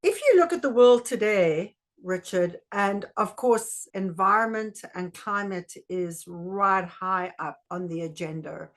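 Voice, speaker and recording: A woman hosting an interview, asking measured questions in short, deliberate phrases. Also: medium pitch, lively intonation. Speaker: interviewer, host.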